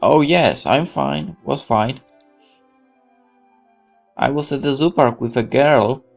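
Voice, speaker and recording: A man's voice: mid-range voice, takes it slowly, creaky, a bit bright, soft background music, full character voice. A man voicing an awkward, naive young soldier in a visual novel, hesitant and eager to impress. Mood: nervous, eager.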